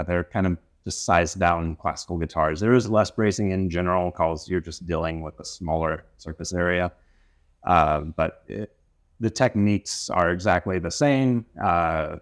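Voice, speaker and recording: A man in his thirties, originally from North Carolina, speaks with a deep, low voice. He answers interview questions about his work as an instrument maker in a relaxed, thoughtful way, often pausing with "uh" to gather his words. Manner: easygoing, thoughtful, lots of "uh" pauses.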